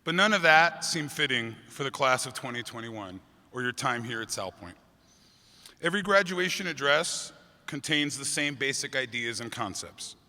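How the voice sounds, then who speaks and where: A middle-aged man, a teacher, giving an earnest graduation speech with a booming voice and light jokes for the crowd. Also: lively intonation, moderate pace, sharp tone. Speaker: teacher giving commencement address. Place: large convention hall. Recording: long hall reverb from a PA.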